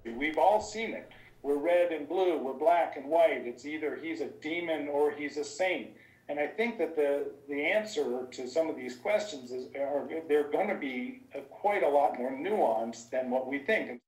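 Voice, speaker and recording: A middle-aged man giving halting interview answers over an internet video call from a small office. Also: a little high, a little mellow, echoey room, far from the mic, rough recording. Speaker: writer and interviewee. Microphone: computer microphone on video call.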